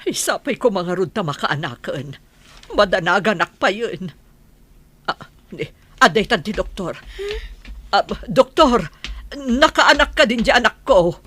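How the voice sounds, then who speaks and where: A woman's voice: mid-range voice, lively intonation, a bit bright. A woman playing an anxious, nagging older village mother in an Ilocano radio drama, with an Ilocano accent and plenty of exclamations. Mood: fretful, chiding. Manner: nagging, exclamatory, quick to interject. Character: anxious, gossipy older village mother fretting over her daughter.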